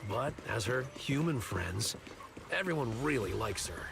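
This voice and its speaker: Affable, gravelly-voiced friend. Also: male voice, mid-range voice, sing-song, unhurried, background noise. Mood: fond, wry. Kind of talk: English video game voice acting. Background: prominent music.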